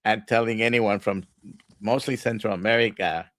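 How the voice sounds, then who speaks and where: A man from Puerto Rico hosting an interview, asking thoughtful, halting questions. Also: on the low side, steady tone, a bit bright, no echo. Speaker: host physician. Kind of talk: conversational podcast interview.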